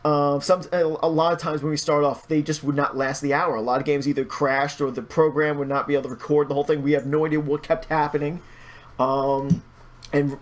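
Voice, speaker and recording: A man speaking as a guest in a casual podcast chat, rambling, opinionated and freely swearing. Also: on the high side. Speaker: podcast guest, video creator.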